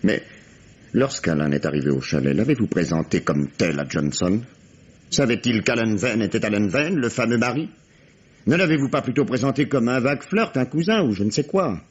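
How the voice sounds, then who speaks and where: An actor playing a gruff, weary, relentless police detective in 1950s radio mystery style, questioning with menacing, mock-fatherly insistence. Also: lowish voice, lively intonation, moderate pace. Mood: stern, pressing. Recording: dull vintage radio drama recording.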